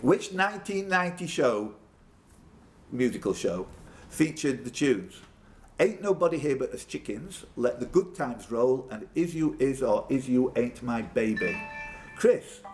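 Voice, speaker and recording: A man's voice: mid-range voice, sing-song, a little slow, darkish tone. A man hosting a live pub quiz, reading out questions and bantering with players by name. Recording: clear background noise, live room. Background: room ambience and noise.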